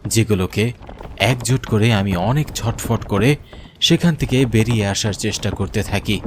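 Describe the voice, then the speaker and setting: A man's voice: on the low side, a little hiss or hum. A man narrating a scripted first-person horror story in a grave, dramatic way.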